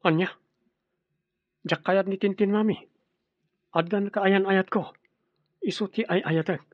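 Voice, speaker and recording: A man's voice: sits high, fairly even intonation, measured pace, no room sound, muffled recording. An actor with an Ilocano accent playing an earnest young man in love in a radio drama. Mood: insistent. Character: young man, devoted suitor defending his sweetheart, earnest.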